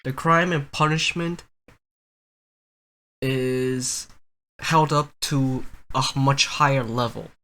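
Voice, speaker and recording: A young man hosting a casual podcast, telling stories in a rambling, halting way. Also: mid-range voice, steady tone, pushed and bright. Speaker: podcast host.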